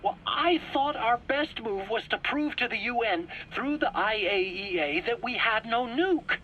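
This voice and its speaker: A man's voice: very high voice, expressive, measured pace, pushed and bright, highs cut off, slight background noise, full character voice. An actor playing a frightened, defensive male scientist under interrogation, whiny and pleading, in a dramatic video game.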